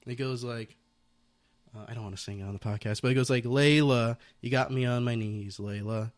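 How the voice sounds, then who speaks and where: A young man in his twenties hosts a relaxed podcast conversation. His voice sits at a middle pitch, and he rambles playfully, often speeding up in quick bursts. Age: 20s. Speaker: podcast host. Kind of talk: casual interview chat.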